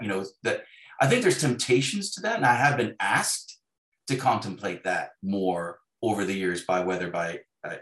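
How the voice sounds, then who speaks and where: A man's voice: mid-range voice, a bit bright. A man speaking as an interview guest, reflecting conversationally and often restarting his phrases as he thinks. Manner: hesitant, self-correcting, thoughtful phrasing with restarts. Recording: roomy, echoing sound.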